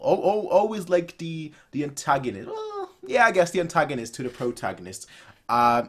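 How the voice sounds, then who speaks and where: A man in his twenties giving casual, chatty reaction commentary, often rambling and repeating his phrases. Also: mid-range voice. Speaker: reaction video host. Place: small bedroom, indoors.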